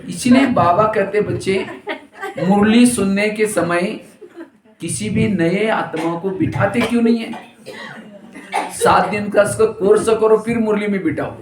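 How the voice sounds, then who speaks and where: High voiced guy giving a spiritual talk, jokey stories, roomy echo all around. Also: measured pace, a crowd in the background. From India. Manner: storytelling with jokes and acted quotes. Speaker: spiritual teacher. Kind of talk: spiritual discourse with anecdotes.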